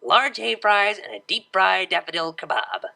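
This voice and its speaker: A woman's voice: medium pitch, very animated, normal speed, creaky, bright, forceful tone, pitched above the performer's own voice, full character voice. A woman voicing a brash, laid-back young unicorn mare DJ in a pony story, with a casual, slangy, offhand manner. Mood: awkward.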